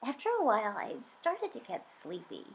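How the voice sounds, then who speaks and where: A woman reading a children's story aloud in an expressive, dramatic way. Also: a little high, moderate pace, slight background noise. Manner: dramatic, varying from hushed suspense to emphatic excitement. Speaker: children's book reader.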